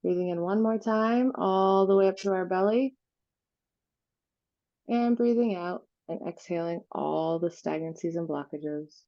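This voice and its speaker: A woman leading an unscripted guided visualization meditation, giving gentle instructions with pauses. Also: lowish voice, lo-fi sound.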